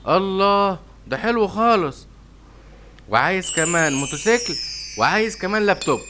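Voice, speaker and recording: Rushed cartoon lion dad, Egyptian accent, quick, faint room hiss. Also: male voice, sits high, lively intonation, bright, forceful tone. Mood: eager. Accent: Egyptian Arabic. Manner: hasty, eager to please. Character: hurried, obliging father lion, king of the jungle.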